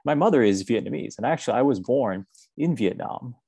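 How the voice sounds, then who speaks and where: Gravelly American man giving a lecture. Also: mid-range voice, lively intonation, a little fast, mellow, no room sound. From United States. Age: 40s. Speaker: university professor giving a research seminar talk. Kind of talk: academic lecture. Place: indoor room, over a video call. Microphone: video call microphone, seated close to webcam.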